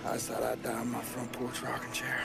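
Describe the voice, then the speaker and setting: An actor playing a defiant, smug, racist Southern sheriff who is wounded and labored, in a video game. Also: male voice, mid-range voice, monotone, fairly quick, gravelly. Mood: bitter, daring. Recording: loud music behind the voice.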